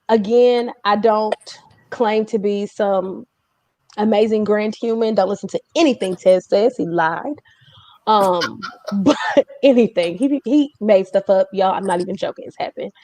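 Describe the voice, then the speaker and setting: A woman's voice: mid-range voice, a little mellow, no echo. A woman hosting a casual livestream chat, talking in an informal, chatty way with joking asides. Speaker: host of a music community livestream.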